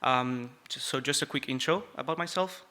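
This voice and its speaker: Bright-voiced Uruguayan man giving a conference talk. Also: medium pitch, moderate pace. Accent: Uruguayan Spanish. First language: Spanish. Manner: chatty, joking, many fillers and restarts. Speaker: conference speaker. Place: conference venue with audience.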